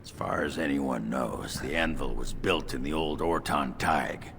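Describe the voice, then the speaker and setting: An actor playing a crude, boastful, drunken dwarf warrior in a fantasy video game, gruff and gravelly. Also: male voice, a bass voice, measured pace, a bit bright, a little hiss or hum, exaggerated voice. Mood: gruff, explaining. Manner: gruff, coarse. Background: game music.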